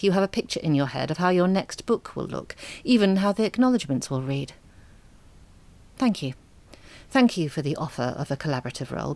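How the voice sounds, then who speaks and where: Low, understated, reserved woman. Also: warm tone, no echo. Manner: measured, understated, articulate. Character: reserved, selfless single mother, gently firm and sad. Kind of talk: audio drama acting.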